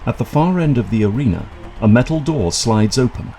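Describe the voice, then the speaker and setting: A man reading scripted dramatic narration for an audio drama in a measured, suspenseful manner. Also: mid-range voice, animated, over music.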